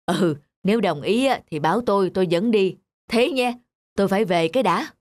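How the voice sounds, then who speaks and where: An actress voicing a plump, middle-aged village woman in an animated folk tale, gossipy, superstitious and insistently persuasive. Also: mid-range voice, brisk, dry recording, natural voice.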